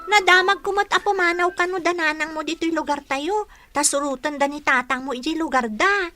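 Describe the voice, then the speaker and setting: Nosy ilocano kid, super high bright voice, dry, drama skit. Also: female voice, a little fast, soft background music. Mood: prying. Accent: Ilocano Filipino. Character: prying child passing on family news. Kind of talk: comedy drama acting.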